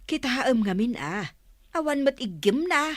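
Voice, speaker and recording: A woman's voice: fairly high pitch, sing-song, measured pace, dry recording. A woman with an Ilocano accent playing a fretful, nagging village woman in an audio drama. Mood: worried.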